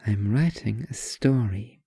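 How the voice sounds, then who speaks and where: A man's voice: lowish voice, slow, gravelly, mellow, husky, no room sound, studio quality, exaggerated voice. A man voicing a sullen, pretentious young female writer in an audio story, curt, pompous and world-weary. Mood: curt, defensive.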